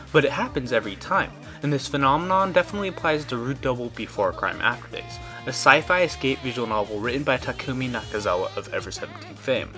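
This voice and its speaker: A man with a medium-pitched voice delivers scripted narration as a game reviewer. He presents it as a prepared review, read aloud to the listener. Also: sharp tone.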